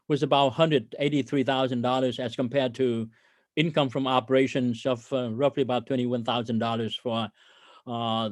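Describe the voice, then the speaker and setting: A man speaking as a company executive on an investor conference call, halting and hesitant as he presents, often stammering over his words. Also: mid-range voice, no room sound. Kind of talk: corporate earnings call presentation.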